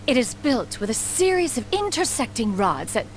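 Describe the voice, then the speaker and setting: An actress playing a proud, aloof elder inventor in a video game, speaking in a formal, lofty, archaic manner. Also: female voice, fairly high pitch, sing-song, normal speed, slight background noise. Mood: lecturing, haughty. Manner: formal, haughty, archaic speech calling herself "one".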